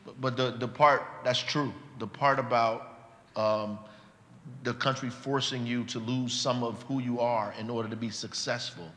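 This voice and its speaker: A man's voice: mid-range voice, steady tone, normal speed, fairly bright tone, slight background noise. A man moderating a group discussion, urging speakers on with short affirmations. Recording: echoey hall with faint crowd.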